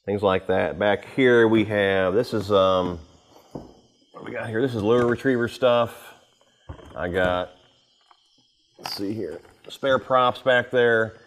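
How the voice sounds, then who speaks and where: A man in his thirties giving a casual, unscripted walkthrough to camera in an informal, rambling way, with pauses as he handles gear. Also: fairly low pitch, slight background noise. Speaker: vlogger giving a boat tour. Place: home garage with the door open.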